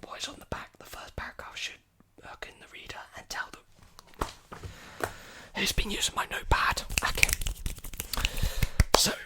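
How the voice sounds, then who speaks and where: A man's voice: moderate pace, pauses often. A young man whispering breathily and soothingly into a close mic, repeating phrases in an ASMR roleplay as a repair technician. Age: young adult. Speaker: ASMR performer playing a robot repair technician. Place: small indoor room with clothes hanging behind. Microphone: large desktop condenser mic, very close.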